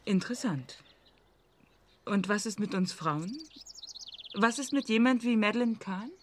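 A woman playing a probing, skeptical journalist in a radio drama, asking pointed, measured questions. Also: mid-range voice, expressive, background noise, natural voice. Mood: pointed, challenging. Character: probing, skeptical female journalist interviewing a scientist.

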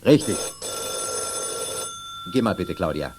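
A man's voice: low voice, expressive, slow, noisy background. An actor playing a jovial middle-aged father in a children's radio play, speaking with theatrical, playful ceremony. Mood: playful, mock-solemn. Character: jovial, mock-pompous father addressing family and guests.

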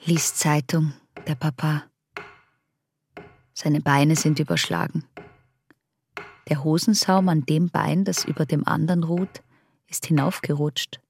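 An actress playing an observant, resentful young woman in radio drama inner monologue, with a creaky, hushed voice. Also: deep voice, fairly even intonation, a little slow, pauses often, slight background noise. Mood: detached, observant.

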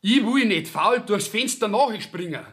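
An actor playing a rough, indignant young peasant in a Bavarian dialect radio play, telling his tale in an earthy, agitated way. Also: sits high, lively intonation, a little slow, fairly bright tone. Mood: aggrieved.

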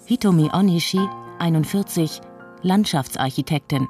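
A woman's voice: sits low, moderate pace, a little mellow, faint music behind. A woman reading short scripted voice-over introductions. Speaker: voice-over reader.

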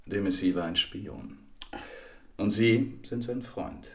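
An actor playing a militant captor in a German radio crime drama, menacing and mock-courteous. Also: male voice, fairly low pitch, slow pace, fairly bright tone. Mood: polite menace. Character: menacingly polite male militant captor, coolly threatening.

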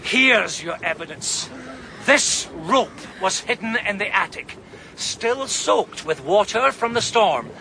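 A sharp, steady detective on a radio play, his voice pitched fairly high and set against a clear hiss of noise. He builds his case slowly and coolly, every line a calm trap. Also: full character voice. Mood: cold, accusing. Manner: measured, cutting, triumphant. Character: shrewd senior police detective unmasking a killer. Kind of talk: 1980s-90s radio drama acting.

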